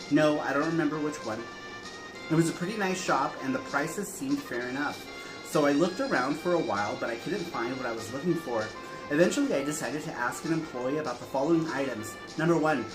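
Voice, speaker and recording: A man's voice: on the high side, reverberant, low-quality recording. A man co-hosting a show, chatting casually, laughing often and reading long viewer letters aloud. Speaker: co-host reading viewer answers.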